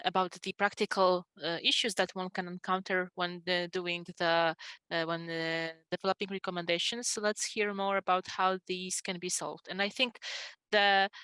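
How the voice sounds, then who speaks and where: A woman's voice: lowish voice, pushed and bright, smooth, no room sound. A woman giving a conversational product presentation in a webinar, speaking into a headset. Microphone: headset on a video call.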